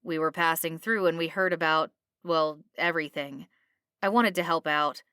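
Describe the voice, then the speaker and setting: A woman's voice: deep voice, steady tone, moderate pace, pushed and bright, clear, resonant tone, no room sound, studio quality. A woman voicing an earnest, loyal retired male soldier in a storybook, politely pleading his case. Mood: relieved.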